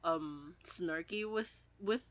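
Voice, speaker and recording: A woman co-hosting a podcast in a casual fan chat, animated and giggly, swearing freely. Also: lowish voice, slow pace, no room sound. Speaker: podcast co-host.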